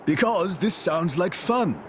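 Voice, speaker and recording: A man's voice: high-pitched, expressive, takes it slowly, background noise. An actor playing a wry, theatrical, swaggering young male adventurer in a video game cutscene. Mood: breezy.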